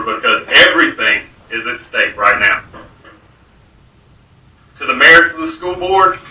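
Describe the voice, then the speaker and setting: A man's voice: medium pitch, pushed and bright. A man, a school board member giving an urgent, rallying speech to a crowd. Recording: echoey room, voice from far off.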